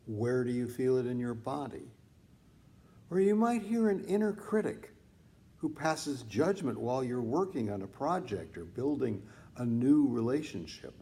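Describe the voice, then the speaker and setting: Guy reading a mindfulness audiobook, really slow and measured, lots of pauses, relaxed mellow voice. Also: medium pitch. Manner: deliberate, measured phrasing with pauses. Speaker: author reading own book on mindfulness. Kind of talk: scripted audiobook narration, meditation teaching.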